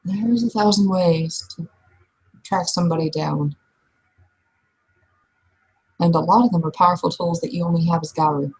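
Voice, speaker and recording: A woman with a markedly husky, breathy voice runs a roleplaying game. She talks casually and conversationally, slipping between character dialogue and out-of-game remarks. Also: lowish voice, fairly even intonation, normal speed, pauses often, poor audio.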